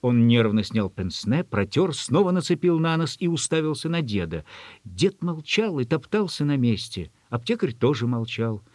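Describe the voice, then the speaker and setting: A man narrating a literary story from a script in a measured, expressive way. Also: medium pitch, no room sound.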